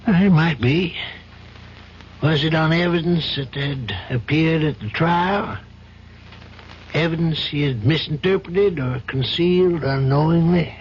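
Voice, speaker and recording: An older judge played by a man in a vintage radio play, compassionate and paternal. His voice sits a little high, and he reasons in a measured, probing way. The recording is dull with faint noise. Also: natural voice. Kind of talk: old-time radio drama acting.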